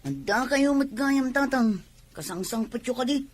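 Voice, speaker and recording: A woman's voice: high-pitched, expressive, normal speed, faint music behind. A woman playing a headstrong, indignant woman in a radio family melodrama, emphatic and questioning. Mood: insistent. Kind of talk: radio drama acting.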